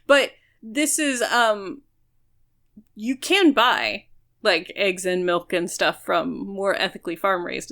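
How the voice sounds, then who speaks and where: A woman speaks as a podcast co-host in a slightly low voice. She talks casually but earnestly, laying out her reasoning as she goes. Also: bright, forceful tone. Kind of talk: casual discussion.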